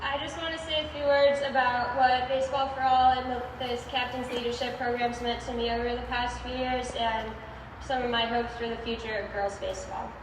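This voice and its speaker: A woman's voice: on the high side, flat delivery, brisk. An eighteen-year-old American woman giving a prepared ceremony speech as a youth team captain. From United States (Illinois). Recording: echoey outdoor PA from far off, noisy.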